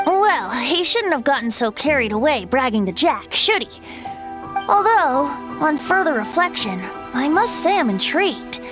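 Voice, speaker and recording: A wry young bard, playful, musing, high lively voice, game music behind. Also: female voice, unhurried. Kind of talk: video game voice acting.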